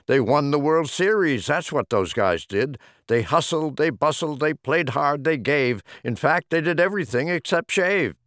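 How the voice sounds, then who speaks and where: A man's voice: on the high side, fairly bright tone, dry recording, studio quality. A man reading humorous rhyming poems as an audiobook narrator, with a theatrical, rhythmic delivery. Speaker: audiobook reader.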